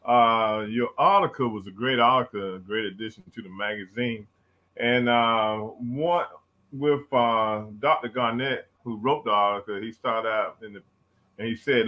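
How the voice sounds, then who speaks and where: A man hosting a radio interview show, chatty and rambling with plenty of fillers. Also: mid-range voice. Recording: dull lo-fi sound.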